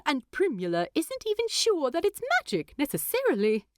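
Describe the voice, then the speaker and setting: An eager, stubborn little hobbit gentleman, played by a woman narrator, talks in a high, wildly swinging voice. He rambles and hesitates as he argues his case in a storybook reading. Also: huge pitch swings, moderate pace, dry recording, clean studio sound. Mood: eager, rambling. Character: excitable, talkative adult male hobbit, eager and stubborn.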